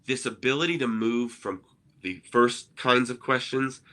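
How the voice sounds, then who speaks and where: A man's voice: medium pitch, a little slow, pushed and bright. A man who grew up in Argentina, co-hosting a conversational discussion. He explains ideas earnestly and often checks in with "right?". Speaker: co-host, ministry innovation speaker.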